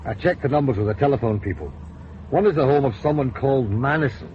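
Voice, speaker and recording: A man's voice: mid-range voice, a little mellow, lightly acted. A man playing a hesitant young detective. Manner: hesitant, halting. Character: hesitant male detective reporting findings. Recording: dull old radio broadcast with faint noise.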